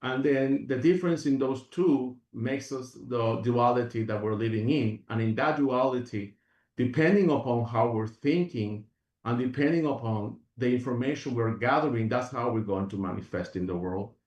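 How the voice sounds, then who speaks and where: A man's voice: mid-range voice, normal speed, darkish tone, roomy sound, recorded from a distance, muffled recording. A man from Venezuela, a guest on an interview show, talking in an earnest, rambling way with many restarts. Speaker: guest, functional medicine practitioner. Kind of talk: reflective interview talk.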